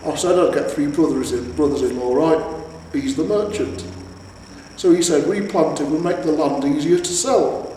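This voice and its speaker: A man's voice: fairly high pitch, normal speed, mellow, lightly acted. A man voicing a glum troll in a storybook reading, rambling and complaining in a sorry, nostalgic way. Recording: echoey reverberant room, distant, faint hiss.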